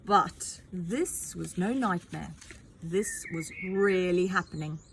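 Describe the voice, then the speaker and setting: A woman teacher reads a children's novel aloud, her pitch lively and swinging through each sentence. She tells the story expressively and breaks off for chatty asides to young listeners. A faint hiss of background noise runs under her voice. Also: slow pace.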